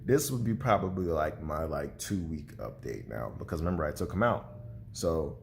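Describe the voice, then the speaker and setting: A young man with a very low voice, stuffy and congested, chats loosely to his camera. He rambles with many fillers and restarts, and a faint hiss sits under the recording. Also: animated, normal speed, creaky. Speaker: vlogger. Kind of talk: casual vlog chat to camera.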